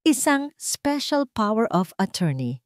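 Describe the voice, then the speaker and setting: A woman's voice: fairly high pitch, big pitch swings, measured pace, no echo, studio quality. A woman giving dramatic scripted narration of an audiobook story.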